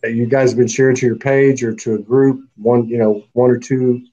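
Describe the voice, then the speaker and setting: A man's voice: medium pitch, level tone, brisk, mellow, highs cut off. A man hosting a live online talk show in a loose, chatty way, laughing often and thanking viewers by name. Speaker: host.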